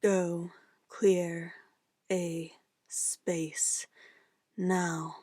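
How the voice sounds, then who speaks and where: Casting: female actor as yandere witch, slow and deliberate, coaxing yet commanding, obsessive lover, intimate dry sound for ASMR roleplay. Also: on the low side, level tone, mellow, no room sound. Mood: possessive, controlled. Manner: coaxing endearments turning to firm commands. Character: obsessive, controlling young witch, sweetly menacing yandere lover.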